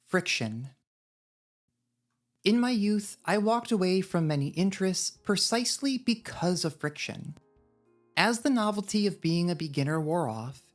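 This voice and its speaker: A woman narrating a scripted video essay in a wry, drily humorous manner. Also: fairly low pitch. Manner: wry, dry humour.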